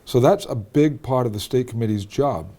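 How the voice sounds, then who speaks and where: A man's voice: mid-range voice, moderate pace, warm tone. A man hosting a local cable interview, steering with leading questions and checking facts with his guest. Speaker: talk show host.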